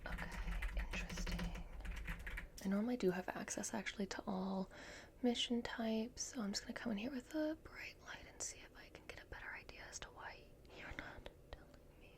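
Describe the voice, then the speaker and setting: A woman speaking in a soft, breathy whisper, gently guiding the listener through an ASMR roleplay as a repair technician. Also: fairly high pitch. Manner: gentle, reassuring, unhurried guidance. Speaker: ASMR performer playing a robot repair technician.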